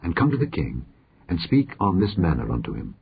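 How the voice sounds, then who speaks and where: A man's voice: deep voice, huge pitch swings, a little fast, vocal fry, breathy edge, exaggerated voice. A man reading aloud voices a crafty army captain giving careful instructions. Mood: instructing. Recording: muffled phone-like audio.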